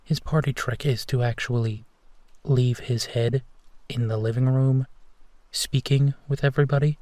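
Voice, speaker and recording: Male actor as tender protective werewolf boyfriend for comfort audio; unhurried, mellow, close and dry. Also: mid-range voice, no echo, close to an everyday voice. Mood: gently amused. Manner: soft, soothing, hesitant pauses, often drops to whispering. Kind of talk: intimate roleplay audio, sleep-aid.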